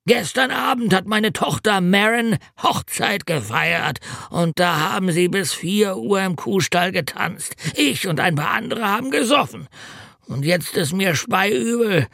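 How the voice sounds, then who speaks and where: A hungover wailing road worker. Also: male voice, high-pitched, expressive, measured pace, gravelly, fairly bright tone, no echo, studio quality, pitched above the performer's own voice, full character voice. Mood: groaning. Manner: groaning, wailing, slurred complaints. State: drunk and sick. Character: hungover, whining older male road worker.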